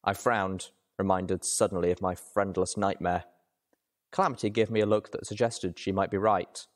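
A man narrates a scripted story. His voice is on the low side, and he reads as a storyteller. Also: fairly even intonation, normal speed. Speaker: narrator, reader.

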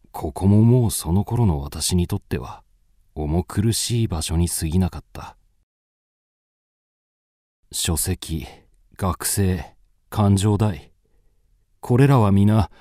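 An actor playing a brooding, melancholic man narrating his wanderings in a measured, dramatic radio drama monologue. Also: lowish voice, warm tone, no room sound, studio quality.

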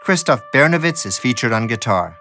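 A man's voice: mid-range voice, lively intonation, a little fast, sharp tone. A man hosting a documentary with scripted narration. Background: music.

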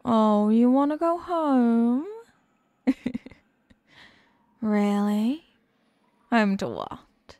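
A haughty demon queen, played by a woman for an ASMR roleplay, speaking slowly with a creaky edge in a fairly high voice. She is mocking and possessive, teasing the listener with deliberate condescension. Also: sing-song, warm tone, dry recording, heavily acted. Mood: scornful.

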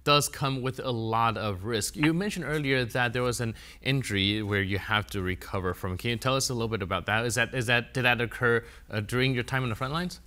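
A male TV host asks polite, measured questions in a studio. His voice is bright and forward, with an even, mid-range pitch. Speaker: news show host. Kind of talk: TV interview questions.

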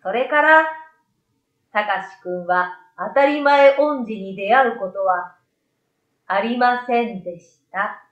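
A woman's voice: fairly high pitch, slow pace, fairly bright tone. A middle-aged woman reading a children's story expressively as a storyteller into a desktop microphone in a small room. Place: small bright indoor room. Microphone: desktop condenser microphone to the side. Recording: roomy, slightly dull sound.

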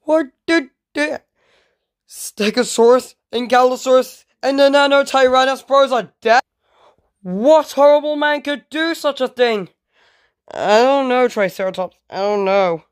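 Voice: mid-range voice, takes it slowly, pauses often, fairly bright tone, no room sound, studio quality. Someone playing an anxious, exclaiming dinosaur hero. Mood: shocked alarm. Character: frightened, loyal dinosaur hero protecting friends. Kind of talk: homemade dinosaur story play-acting.

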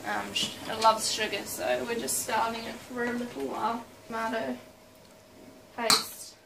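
A woman's voice: fairly high pitch, little pitch movement, a little slow, creaky. A young woman in her twenties hosting a casual home cooking vlog, chatty and playful with self-mocking asides, filmed up close in a small kitchen at night. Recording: noisy home kitchen recording. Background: loud clatter of pots, cutlery and dishes.

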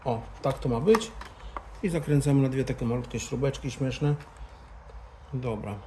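A man's voice: mid-range voice, moderate pace, darkish tone. A man, talking casually and informally through a hands-on tutorial, often muttering to himself as he works. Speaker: motorcycle vlogger. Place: indoor garage-bar lounge room. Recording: roomy echo, background noise.

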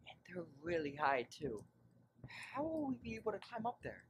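A cautious young cartoon pony, voiced by a woman, notably low in pitch. The delivery moves up and down a lot, with faint noise behind. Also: wildly swooping pitch, moderate pace. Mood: uneasy.

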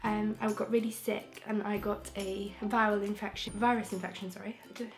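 A young woman from England, croaky from a throat infection, chatting frankly and rambling with restarts as a beauty vlogger in her bedroom. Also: mid-range voice, little pitch movement, fairly quick, faint music behind. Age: young adult. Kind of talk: casual chatty vlog. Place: bedroom, indoors. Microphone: camera in front, close framing.

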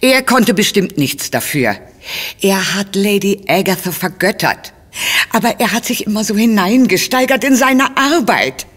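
Elderly female cook, doting, gossipy, very melodic, unhurried, radio play. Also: mid-range voice, expressive. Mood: sorrowful, defensive. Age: older. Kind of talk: German radio play acting.

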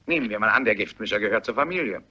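An actor playing a methodical police inspector who reasons deliberately in a German radio mystery play. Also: male voice, fairly low pitch, lively intonation, pushed and bright. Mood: analytical. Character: probing, methodical male police inspector on a murder case. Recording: muffled, compressed radio play recording.

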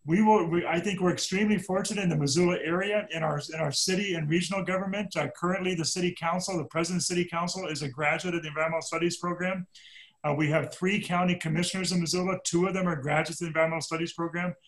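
A man moderating an alumni panel, earnest and measured, often restarting his sentences. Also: high-pitched, fairly even intonation, a bit bright, rough recording. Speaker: panel facilitator.

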